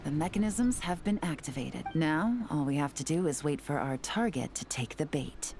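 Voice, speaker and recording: A woman's voice: sits low, lively intonation, normal speed, a little mellow, a little hiss or hum. A woman playing a cool, confident female investigator in a video game, with a dry, teasing, composed delivery.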